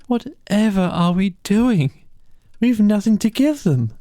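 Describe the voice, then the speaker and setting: A man's voice: sits high, sing-song, takes it slowly, dark tone, no room sound, studio quality, pitched above the performer's own voice, heavily acted. A man voicing an eager, sociable, fretful mole in a storybook, with a flustered, slightly stammering manner. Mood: despairing.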